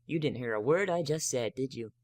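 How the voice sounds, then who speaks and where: A man's voice: mid-range voice, animated, fairly quick, darkish tone, dry recording, clean studio sound, natural voice. Unicorn mare shopkeeper, done by a man, friendly, teasing, playful, fantasy storybook reading. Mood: amused.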